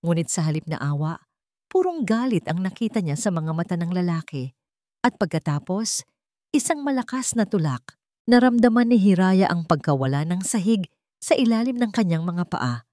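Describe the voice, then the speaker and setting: Female story narrator, lowish pitch, emotive and suspenseful scripted delivery, dry close recording. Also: expressive, normal speed, warm tone, dry recording.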